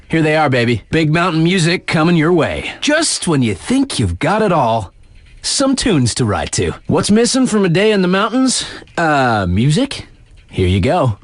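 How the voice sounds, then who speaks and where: A man's voice: on the high side, no room sound, clean studio sound. A man playing a hip, laid-back radio DJ on a snowboard mountain in a video game, introducing tracks with slangy, cocky, playful patter.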